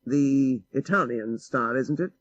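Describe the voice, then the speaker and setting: A man playing a suave, bookish Victorian gentleman. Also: mid-range voice, a little slow, dry recording, highs cut off, natural voice. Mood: musing. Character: bookish, worldly gentleman friend, urbane and knowing.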